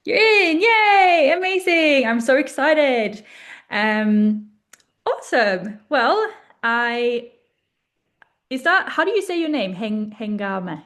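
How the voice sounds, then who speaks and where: Woman coach on a video call, bubbly and salesy, voice sitting a bit high. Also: lively intonation, slow pace, fairly bright tone. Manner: upbeat, gushing, sales-pitch encouragement. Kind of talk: live online workshop Q&A.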